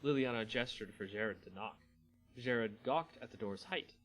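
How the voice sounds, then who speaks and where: A man hosting a dramatic story reading, expressive in delivery and now and then stumbling and correcting himself. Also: medium pitch, unhurried, soft background music. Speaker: host reading a fantasy story aloud.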